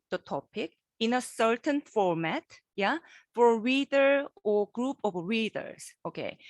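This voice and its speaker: A woman professor giving a guest lecture over a video call, halting and repeating words, often checking in with her listeners. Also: fairly high pitch, dry recording. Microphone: computer microphone on a video call.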